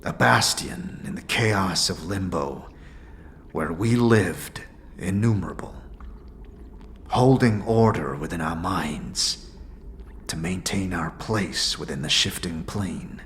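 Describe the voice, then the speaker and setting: A man's voice: low voice, slow, fairly bright tone, slight background noise, full character voice. A man playing a grave, stoic otherworldly warrior monk in a fantasy audio drama, speaking solemnly in formal, archaic phrasing. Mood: sorrowful, grave.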